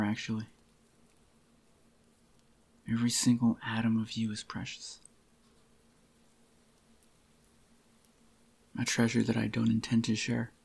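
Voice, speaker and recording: A man playing a possessive, devoted boyfriend in a soft-spoken, intimate ASMR roleplay, tender and obsessive, with a groggy, gravelly voice. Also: on the low side. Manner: tender, slow reassuring endearments to a lover.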